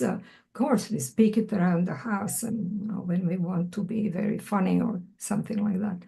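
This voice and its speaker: A woman in her eighties with a soft, mellow tone speaks slowly and with care. She reads her own poems and then answers questions about them with dry, thoughtful humor. Her voice comes through a video call. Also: mid-range voice, creaky. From Croatia. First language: Serbo-Croatian. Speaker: featured poet. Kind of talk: poetry reading, then conversational Q&A.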